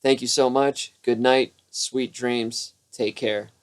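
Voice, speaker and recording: A narrator reading a children's bedtime story aloud with expressive, emphatic delivery. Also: mid-range voice, slow pace.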